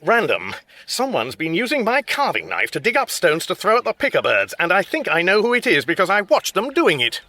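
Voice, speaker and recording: A man's voice: sits high, huge pitch swings, fairly quick, hardly any pauses, sharp tone, dry recording, exaggerated voice. An actor playing a fussy, exasperated middle-aged father with an English accent, dry and pedantic, in a radio comedy drama.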